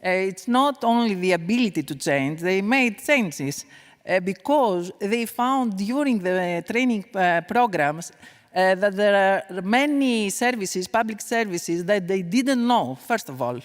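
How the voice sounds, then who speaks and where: Slow, hesitant woman panelist. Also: mid-range voice, expressive. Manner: hesitant, halting non-native English with many fillers. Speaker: conference panelist. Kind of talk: answering audience question. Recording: long reverb of a large conference hall.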